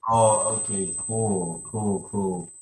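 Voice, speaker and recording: A man's voice: fairly low pitch, fairly even intonation, drawn-out words, darkish tone, a little hiss or hum. A man hosting a podcast in casual conversation, rambling and often repeating his phrases. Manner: rambling, repeats phrases, many "you know". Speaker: podcast host.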